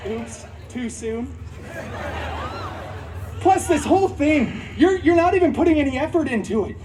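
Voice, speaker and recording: A man's voice: extremely high pitch, animated, measured pace, background noise. A man playing a campy, wisecracking antihero who tells a fairy tale melodramatically, with snarky asides, at a live theme park show. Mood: indignant, campy. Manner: melodramatic, chatty, breaking into snarky asides to crowd. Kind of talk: theatrical live children's storytelling parody. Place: outdoor theme park stage. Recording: amplified live show over loud background music.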